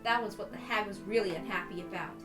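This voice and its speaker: A woman's voice: mid-range voice, quick pace, roomy sound. A woman reading a visual novel aloud as a dramatic first-person narration. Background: background music.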